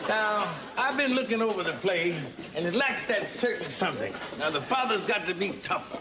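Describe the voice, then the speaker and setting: An actor playing a bossy, pompous old father who directs an amateur play in a sitcom, with a raspy voice. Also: high-pitched, animated, normal speed. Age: older. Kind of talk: sitcom acting before a studio audience. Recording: echoey, noisy sitcom stage recording.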